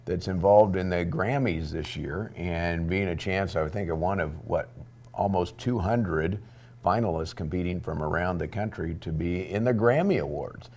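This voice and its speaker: A man hosting a local TV interview, asking questions in a conversational way with a low voice. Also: moderate pace, a little mellow, slight background noise. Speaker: interview host.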